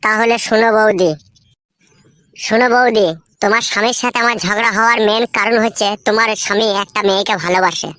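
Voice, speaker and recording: Man doing a high-voiced earnest village neighbour. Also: fairly even intonation, fast, bright, forceful tone, dry recording. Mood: confiding, serious. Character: cautious, confiding village man, earnest neighbourly brother-in-law.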